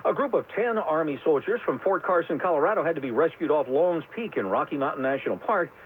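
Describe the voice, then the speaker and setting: A man's voice: fairly high pitch, animated, fast talker. A man anchoring a scripted radio newscast. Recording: tinny, faintly noisy AM radio.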